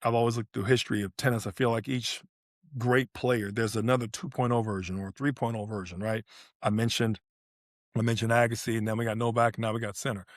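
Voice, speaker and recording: A man's voice: mid-range voice, steady tone, quick pace, no echo, clean studio sound. A man co-hosting a sports podcast, breaking down play in casual talk and repeating phrases for emphasis. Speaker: podcast co-host analysing tennis.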